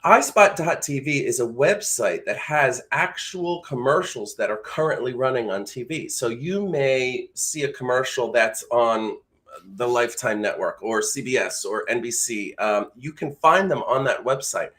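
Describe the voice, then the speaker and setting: A man's voice: mid-range voice, animated, normal speed, a bit bright. A man speaking as a coach and host, giving informal, explanatory instruction in a conversational way. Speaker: voice-over coach and live show host.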